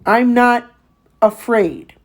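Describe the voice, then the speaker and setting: A woman's voice: on the high side, animated, extremely slow, pitched above the performer's own voice, full character voice. A woman voicing a pompous, bullying male tax official in a storybook, with a demanding, authoritative manner. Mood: demanding, blustering. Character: short, pompous, bullying male tax man, city slicker. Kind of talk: storybook read-aloud character voice.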